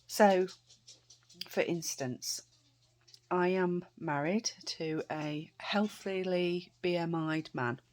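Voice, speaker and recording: British woman, low voice, slightly croaky, rambling casual vlog chat. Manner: chatty, rambling with many fillers and restarts. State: a bit croaky. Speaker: vlogger.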